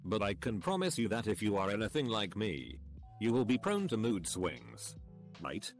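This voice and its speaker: A man reading a series of online forum comments aloud in a steady, even delivery. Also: lowish voice, moderate pace, faint music behind.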